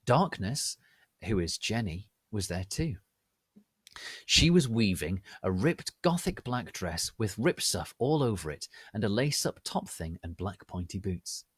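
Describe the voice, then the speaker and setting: Animated low-voiced man reading a story. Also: measured pace, husky, no room sound. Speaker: narrator reading fan fiction aloud.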